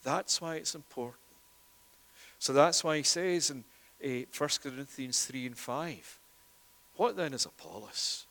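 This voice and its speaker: A man's voice: medium pitch, expressive, a little slow, pauses often, fairly bright tone, in a large hall. A man preaching a sermon as pastor, earnest, with a recurring "it is that" turn of phrase.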